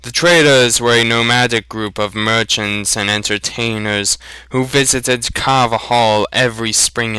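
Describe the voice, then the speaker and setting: Very bright, slow-reading male narrator. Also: medium pitch, smooth, dry recording, low-quality recording. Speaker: storybook narrator. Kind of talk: dramatic amateur audiobook reading.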